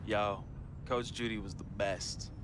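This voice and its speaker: A man's voice: fairly low pitch, steady tone, slow pace, background noise. An actor playing a brash, resentful young American man, an aspiring rapper, who talks in swaggering street slang in a game cutscene. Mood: nostalgic. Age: young adult.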